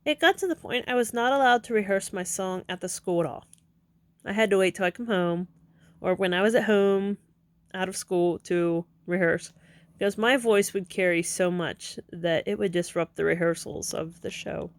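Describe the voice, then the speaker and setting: A woman's voice: mid-range voice, moderate pace, no echo. Chatty woman in her thirties, dry sound. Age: 30s. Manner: chatty, digressive, corrects herself, laughs often. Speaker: vlogger. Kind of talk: casual rambling vlog talk. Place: at home.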